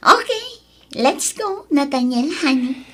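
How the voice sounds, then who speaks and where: A woman's voice: sits high, lively intonation, takes it slowly, pushed and bright, a little hiss or hum, full character voice. An actress with an Ilocano accent playing a confident, ambitious young girlfriend who sweet-talks breezily in a radio drama. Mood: breezy, coaxing.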